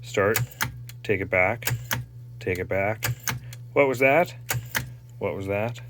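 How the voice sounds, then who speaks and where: A man's voice: on the low side, noisy background. A man from Canada giving a rambling, casual show-and-tell about restoring an old tape machine, stopping often with fillers and restarts. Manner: rambling, halting, lots of fillers.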